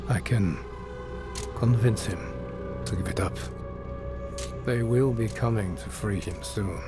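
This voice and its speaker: A man's voice: lowish voice, slow. An actor playing a grim, curt male bounty hunter in a video game, terse and commanding with dry menace. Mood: terse, menacing. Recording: loud music over the voice.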